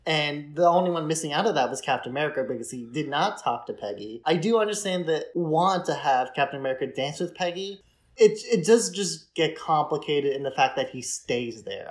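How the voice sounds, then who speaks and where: A man's voice: fairly high pitch, animated, brisk. A man co-hosting a casual discussion podcast, speaking informally and peppering his sentences with filler words. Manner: informal, frequent use of "like". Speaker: podcast co-host.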